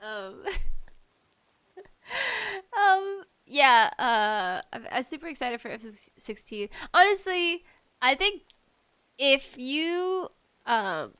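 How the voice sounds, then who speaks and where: A woman with a bright, smooth voice hosts a casual solo chat. She takes her time and rambles along, putting enthusiastic swings of emphasis on her words. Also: a little high. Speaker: podcast host talking about video games.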